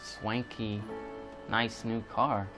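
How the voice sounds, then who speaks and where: A man's voice: lowish voice, little pitch movement, drawn-out words. A man giving casual let's play commentary, rambling and thinking aloud as he goes. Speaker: gaming YouTuber. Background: game music.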